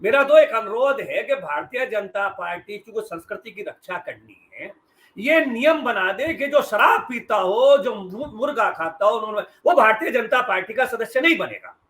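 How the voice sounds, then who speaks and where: A man's voice: sits high, lively intonation, normal speed, a bit bright. A middle-aged man gives sarcastic, emphatic political commentary as a journalist on a debate panel. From western Uttar Pradesh, India.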